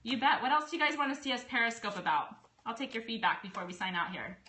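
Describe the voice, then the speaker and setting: A woman's voice: fairly high pitch, lively intonation, sharp tone. A woman hosting a casual live product stream, chatty and bubbly, answering viewer questions. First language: English. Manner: bubbly, chatty, quick to laugh. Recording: echoey room, faint noise.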